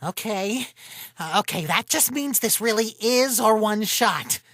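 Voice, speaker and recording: A woman voicing an excitable, exasperated female companion in a fan comic dub. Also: mid-range voice, a little slow. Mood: tense.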